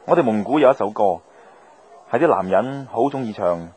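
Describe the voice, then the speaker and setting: A man's voice: on the low side, animated, normal speed, a little mellow, breathy edge. An actor playing an easygoing, philosophical male wanderer in a Cantonese radio drama.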